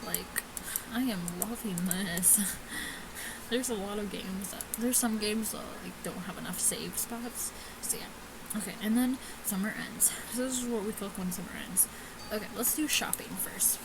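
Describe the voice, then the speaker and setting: A woman hosting a casual let's play, reading game text aloud in a halting way with small stumbles. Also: medium pitch. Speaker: gaming video host. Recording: clearly noisy home recording.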